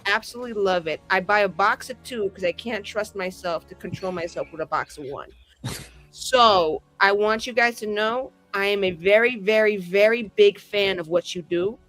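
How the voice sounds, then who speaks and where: A woman from Colombia talks in a casual, playful way, like a player hanging out with her gaming group. Her voice sits at a medium pitch, with faint music behind her. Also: moderate pace, fairly bright tone, rough recording. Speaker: player on a tabletop roleplaying show.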